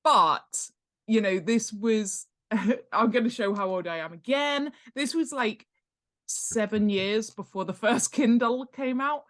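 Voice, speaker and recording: Slow-paced woman in a casual interview. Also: a little high, fairly bright tone. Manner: playful, joking. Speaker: podcast guest, author.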